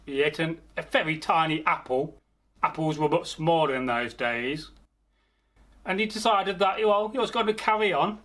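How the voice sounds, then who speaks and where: A man telling a folktale aloud as a storyteller, theatrical, pausing mid-phrase and speaking directly to his listeners. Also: on the high side, fairly bright tone. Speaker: storyteller, channel host. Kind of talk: oral folktale storytelling, improvised.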